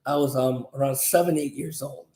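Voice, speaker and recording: A man's voice: mid-range voice, fairly even intonation, normal speed, warm tone. A man in his fifties, originally from South Korea, a martial arts grandmaster answering interview questions in a rambling way with many fillers and restarts. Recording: roomy echo.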